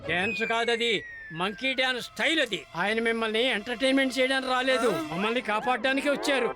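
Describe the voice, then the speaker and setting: Squeaky, fast-talking cartoon crook. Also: male voice, fairly even intonation. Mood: smug. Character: cocky, taunting cartoon crook. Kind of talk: children's cartoon acting.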